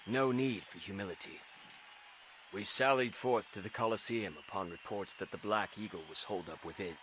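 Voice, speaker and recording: An actor playing a proud, chivalrous knight in a fantasy game, speaking in stately, formal phrases. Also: male voice, lowish voice, normal speed, fairly bright tone, a little hiss or hum, close to an everyday voice. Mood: solemn respect. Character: proud, chivalrous knight in armour, praising a hero.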